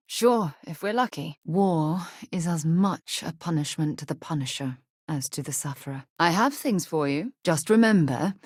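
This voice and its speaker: A woman playing a composed, principled ex-military space explorer in a video game, articulate and measured with a dry wit. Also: deep voice, a little mellow, no echo. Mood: warm, wry. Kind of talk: video game dialogue acting.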